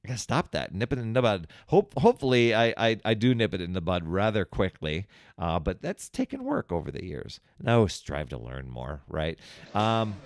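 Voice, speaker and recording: Low-voiced man hosting a motivational podcast. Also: sing-song, normal speed, no echo, clean studio sound. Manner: conversational, talks directly to the listener; encouraging, coaching. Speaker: podcast host. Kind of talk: motivational self-help podcast talk.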